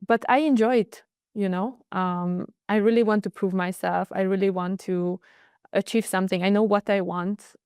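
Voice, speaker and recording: A woman's voice: mid-range voice, steady tone, normal speed, a little mellow, smooth, no room sound, clean studio sound. A woman from Hungary, giving earnest, determined answers as an interview guest. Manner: earnest and determined, with some hesitations. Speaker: guest, internal audit director.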